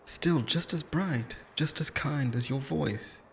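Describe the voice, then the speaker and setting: A man's voice: on the high side, slow, vocal fry, slight background noise. A man playing an obsessive, lonely immortal lover in an intimate, theatrical roleplay monologue, pleading and possessive, moving between tenderness and menace. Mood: tender longing.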